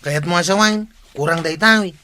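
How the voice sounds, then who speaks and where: An actor playing a nosy, insistent village sidekick in Ilocano radio comedy, questioning and exclamatory with a Filipino accent. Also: male voice, high-pitched, a little fast, pushed and bright. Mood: pleading, bargaining.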